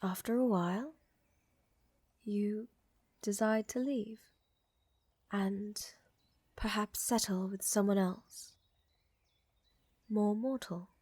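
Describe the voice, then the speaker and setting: A woman playing a tender, seductive vampire countess in an intimate ASMR roleplay, speaking softly and often in whispers, with refined affection. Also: mid-range voice, steady tone, no echo. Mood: wistful, earnest. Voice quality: soft, breathy, often whispering. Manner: slow, refined, affectionate, gently dominant. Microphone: close, dry microphone.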